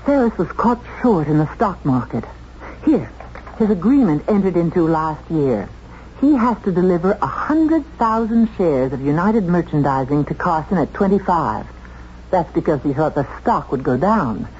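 An actress playing a shrewd, determined woman in a 1970s radio drama, with intense, deliberate delivery. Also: lowish voice, very animated, a little mellow. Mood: agitated, urgent. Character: determined, shrewd woman accused of murder, confronting the killer. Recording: muffled, noisy old radio drama tape.

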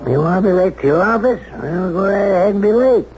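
An actor playing a brash, domineering old gentleman who needles and pries in a 1970s radio drama. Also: high voice, animated, quick pace. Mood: brash, prying. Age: older. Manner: blunt, needling, insistent. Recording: muffled, noisy 1970s radio recording.

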